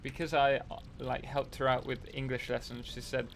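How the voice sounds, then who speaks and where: A man's voice: mid-range voice, little pitch movement, normal speed, slight background noise. A young man hosting a casual live stream while playing a game, rambling and answering chat messages.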